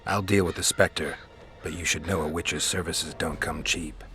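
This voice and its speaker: An actor playing a stern, world-weary monster hunter in a video game, speaking in a terse deadpan. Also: male voice, deep voice, moderate pace, faint music behind. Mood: matter-of-fact.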